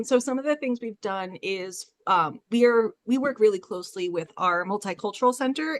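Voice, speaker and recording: A woman speaking informally as an academic librarian on a discussion panel, explaining things in a rambling, conversational way. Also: medium pitch.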